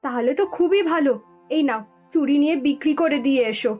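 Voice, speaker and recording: A woman voicing a supportive, practical village wife encouraging her husband, in an animated moral tale. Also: sits high, a little fast, faint music behind, close to an everyday voice. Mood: reassuring.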